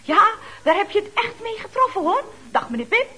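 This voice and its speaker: A woman's voice: very high-pitched, sing-song, brisk, bright, forceful tone, exaggerated voice. A woman playing a chatty, ironic secretary at a building firm in a Dutch radio comedy serial, speaking with arch, mock-polite expressiveness. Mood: sweetly pointed. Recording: noisy old radio recording.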